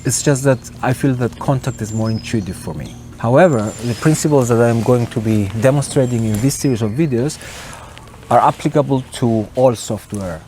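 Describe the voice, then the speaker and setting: A middle-aged man giving a casual, tongue-in-cheek vlog explanation to camera, speaking informally and deliberately. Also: mid-range voice, mellow. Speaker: video host and music producer. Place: outdoors, park woodland and street. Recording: outdoor ambient noise.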